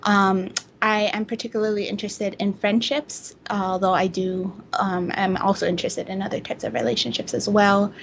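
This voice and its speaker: A woman from Hawaii speaking as a researcher guest, explaining things casually in a halting, thoughtful way on a lo-fi recording. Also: medium pitch, creaky. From Hawaii, United States. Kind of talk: casual explanatory interview answers. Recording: dull lo-fi sound with faint noise.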